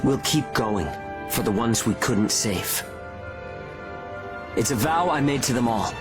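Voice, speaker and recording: Man playing a steady, resolute young soldier. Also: mid-range voice, a little slow, lightly acted. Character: steady, determined young male monster-hunting soldier, loyal comrade. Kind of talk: video game cutscene voice acting. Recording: music behind the voice.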